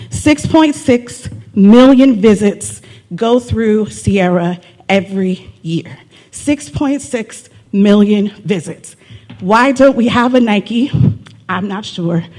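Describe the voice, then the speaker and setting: A woman's voice: a little high, darkish tone. Woman giving an emphatic presentation. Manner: emphatic, repeats key figures, poses rhetorical questions. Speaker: city economic development presenter. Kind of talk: live persuasive presentation to brokers and investors.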